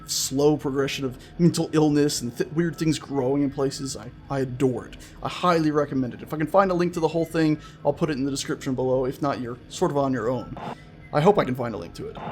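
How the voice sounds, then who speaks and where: A man's voice: medium pitch, fast talker. A man hosting a gaming video with casual, chatty, playful and enthusiastic let's-play commentary. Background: game music.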